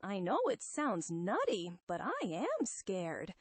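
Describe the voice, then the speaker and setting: Hulking monster hero, played by a woman: street slang, tough but tender, swooping pitch, comic-book story read aloud. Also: huge pitch swings, slow, dry recording, full character voice. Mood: scared, defiant. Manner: slangy tough-guy talk with dropped grammar.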